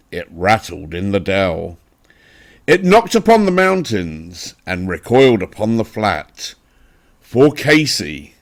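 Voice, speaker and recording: A man with an English accent giving a dramatic, theatrical recitation of a narrative poem, with deliberate, emphatic phrasing. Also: low voice, gravelly. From England. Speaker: poetry reader.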